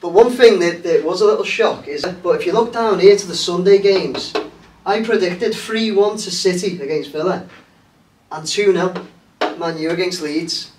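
Male host, high voice, rambling chat, echoey room, far mic. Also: normal speed. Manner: rambling, hesitant, lots of fillers. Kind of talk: casual chat. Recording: roomy, distant mic, faint hiss.

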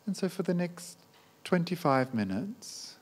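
A man guiding a meditation as a teacher, speaking gently in short, unhurried phrases with long pauses. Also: a little high, very animated, slightly rough. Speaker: meditation teacher, Buddhist monk. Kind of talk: guided meditation.

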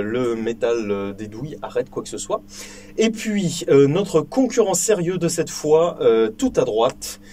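Lively joking young man. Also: a little high, slight background noise. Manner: joking, swears casually, many fillers. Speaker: firearms video host. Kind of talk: casual chatty vlog. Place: small indoor shooting booth.

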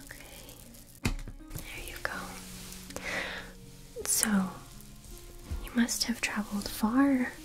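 A woman in her twenties whispering gently as a kindly, attentive medieval innkeeper in an ASMR roleplay, in a soft breathy voice. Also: a little high. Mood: gentle, attentive. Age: 20s. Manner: gentle, unhurried, solicitous. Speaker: ASMR performer. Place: small dim candlelit indoor set. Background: music.

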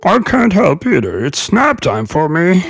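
A man voicing a lazy farmyard animal with a gravelly squawk and whiny manner in a children's cartoon. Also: high voice, wildly swooping pitch, unhurried, soft background music, exaggerated voice. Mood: lazy, dismissive. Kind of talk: children's cartoon story acting.

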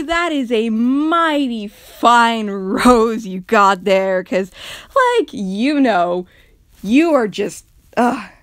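A woman voicing a flirty, theatrical demon who stretches words, pauses for suspense, suddenly speeds up and sprinkles in filler words. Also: on the high side, no room sound, exaggerated voice. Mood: flirtatious. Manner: fluctuates between drawn-out words and fast bursts, suspense pauses, heavy filler words.

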